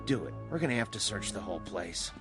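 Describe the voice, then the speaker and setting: An actor playing a calm, authoritative doctor giving orders in a radio drama. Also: male voice, fairly low pitch, fast, over music, close to an everyday voice. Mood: firm.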